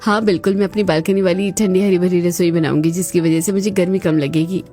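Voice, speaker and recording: A woman's voice: fairly low pitch, fairly even intonation, brisk, warm tone, close to an everyday voice. A woman playing a practical, cheerful young housewife in a cartoon family story, with music behind her. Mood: upbeat, explaining. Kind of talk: Hindi cartoon story dialogue.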